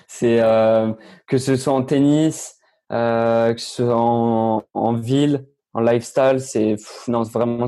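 A man's voice: medium pitch, mellow. A teenage man, a young tennis player answering interview questions casually, hesitant with many fillers and restarts. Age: teens.

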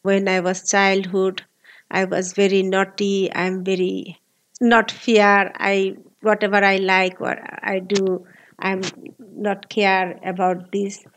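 Slow-speaking Bangladeshi woman in her forties. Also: medium pitch, flat delivery. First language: Bengali. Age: 40s. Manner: earnest, halting non-native English. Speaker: guest, labor activist. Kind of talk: interview.

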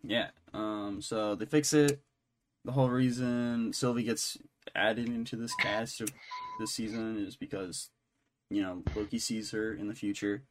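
A man co-hosting a podcast in casual, unscripted recap chat, halting and often restarting his sentences. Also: on the low side, fairly even intonation, soft background music. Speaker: podcast co-host.

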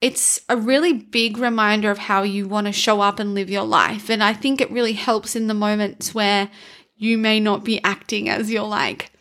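A woman's voice: mid-range voice, fairly even intonation, normal speed, fairly bright tone. A young adult woman co-hosting a podcast, speaking earnestly and encouragingly in casual chat. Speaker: podcast co-host.

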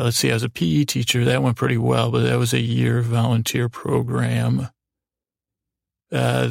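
A middle-aged American man hosting a podcast, telling personal stories in a halting, meandering, self-deprecating way. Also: mid-range voice, gravelly, dry recording, studio quality. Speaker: podcast host. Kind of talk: rambling personal storytelling.

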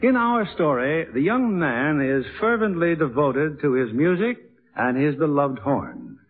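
A muffled vintage radio recording carries a formal male host with a fairly high, very animated voice. He delivers a polished, courtly scripted introduction in 1950s theater-program style. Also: measured pace.

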